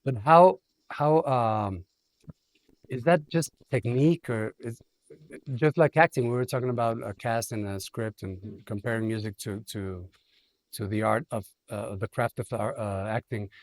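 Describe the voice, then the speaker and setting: A male radio host talks in long, rambling questions, restarting his phrases and laughing now and then. His pitch sits mid-range for a man. The studio sound is close and dry. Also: a little slow, no room sound. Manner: rambling, repeats words, laughs often. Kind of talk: interview conversation.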